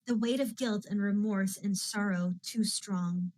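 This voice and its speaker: A woman from the Netherlands reads a novel aloud at a slow, measured pace. Her voice sits at a middle pitch and sounds close and dry. Between chapters she chats calmly with her viewers. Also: dry recording. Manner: calm, chatty asides to viewers. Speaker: audiobook reader and streamer. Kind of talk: reading a novel aloud on a live stream.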